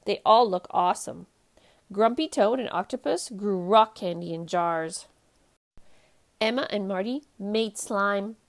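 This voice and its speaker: A woman hosting a children's read-aloud, narrating a storybook in an expressive, animated way. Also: medium pitch, a little slow.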